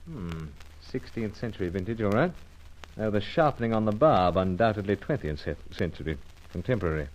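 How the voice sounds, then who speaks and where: Guy doing a sardonic spy from an old radio serial, lowish voice, clipped and dry, really varied intonation. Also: animated, fairly quick, a little mellow, a little hiss or hum, close to an everyday voice, low-quality recording. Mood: dry, nonchalant. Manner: clipped, dryly nonchalant hardboiled delivery. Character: suave, sardonic male British secret agent narrating his case.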